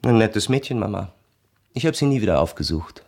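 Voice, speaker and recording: Low-voiced, weary young soldier. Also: male voice, animated, a little fast, vocal fry, darkish tone, breathy edge, full character voice. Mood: reticent. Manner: terse, subdued replies. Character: weary young gentleman soldier, dutiful to his mother. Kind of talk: radio play dialogue.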